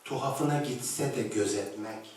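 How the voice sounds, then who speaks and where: A man's voice: on the low side, a little slow, a little mellow, slight background noise. A man reading poetry aloud on a festival stage. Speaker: reader. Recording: echoey hall, voice from far off.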